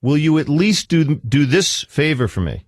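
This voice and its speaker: A man speaking as a therapist in a counselling conversation, pressing gently but persistently with measured questions. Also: a little high, sing-song, dry recording, studio quality.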